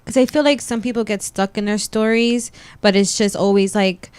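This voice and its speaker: A woman's voice: mid-range voice, steady tone, moderate pace, darkish tone, clean tone, dry recording. A young woman co-hosting a radio talk show, chatting casually and saying "like" often. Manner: casual, uses "like" a lot. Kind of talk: casual chat.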